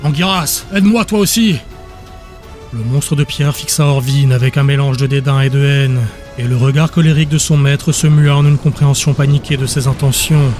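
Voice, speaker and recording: A man's voice: mid-range voice, flat delivery, brisk, few pauses, darkish tone. A man reading dramatic scripted narration as an audiobook narrator. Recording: music behind the voice.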